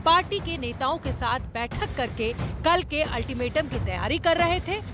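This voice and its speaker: Female news voice-over, high pitch, scripted delivery, prominent music bed underneath. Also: lively intonation, normal speed. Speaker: news reporter.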